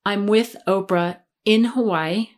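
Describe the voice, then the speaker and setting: A woman in her forties tells a long story as a guest in a relaxed conversation. She talks in an animated, chatty way, with a mid-range voice. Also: medium pitch, takes it slowly. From Maryland, USA. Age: 40s. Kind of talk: storytelling in a casual chat.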